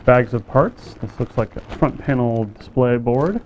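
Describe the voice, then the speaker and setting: A man's voice: medium pitch, measured pace, background noise. Plain-spoken man on dull audio. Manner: relaxed, plain-spoken, with frequent "uh" and "okay". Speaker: company staff demonstrating a kit. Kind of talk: casual product demonstration.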